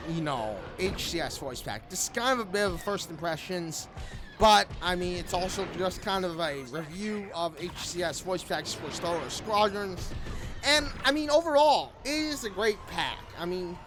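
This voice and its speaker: A man talks casually as a gaming creator. He rambles through his review and returns to points he has made. Music plays clearly behind his voice. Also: sits high, expressive, moderate pace. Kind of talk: casual spoken game review commentary. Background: background music.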